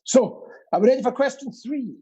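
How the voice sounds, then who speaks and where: British man, middle-aged, husky, soft mellow tone, theatrical quiz host, casual banter, comic repetition. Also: very high voice, expressive, fairly quick, highs cut off. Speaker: quiz co-host and pantomime dame performer.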